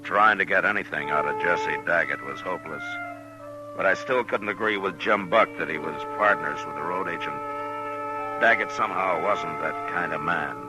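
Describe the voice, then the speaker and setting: An actor playing a steady, watchful marshal in an old-time radio western, speaking in a measured, probing, laconic way. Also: male voice, sits low, close to an everyday voice, poor audio. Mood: measured narrating. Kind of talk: old-time radio western drama, partly first-person narration. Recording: old radio recording with music.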